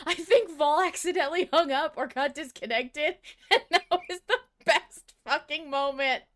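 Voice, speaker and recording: A woman's voice: high-pitched, a little slow, a bit bright. A woman co-hosting a casual fan podcast, bantering bluntly with playful exasperation and free swearing. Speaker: podcast co-host.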